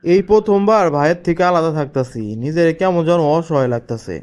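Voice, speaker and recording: Sentimental elder brother for a family cartoon played by a man, fairly high voice, earnest consoling manner, Bangladeshi Bengali accent. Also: animated, brisk, darkish tone, clear, resonant tone, natural voice. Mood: melancholy.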